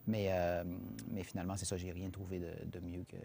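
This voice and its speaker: A film director from Quebec's Saguenay region with a Québécois accent, answering interview questions in a hesitant way, full of fillers and restarts. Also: male voice, low voice, little pitch movement, brisk, dark tone, a little hiss or hum. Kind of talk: casual spoken interview.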